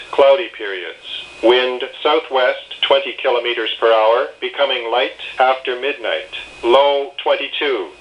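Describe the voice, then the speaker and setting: A synthetic man's voice reading an automated weather bulletin in clipped, segmented phrases, like a radio announcer. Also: mid-range voice. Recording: dull lo-fi radio broadcast. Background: weather radio broadcast.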